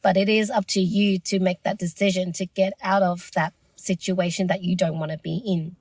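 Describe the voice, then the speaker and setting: A woman's voice: on the low side, level tone, normal speed, darkish tone, no room sound. A woman hosting her own podcast, losing her voice, speaking in an earnest, reflective and encouraging way. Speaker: podcast host. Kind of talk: solo podcast monologue.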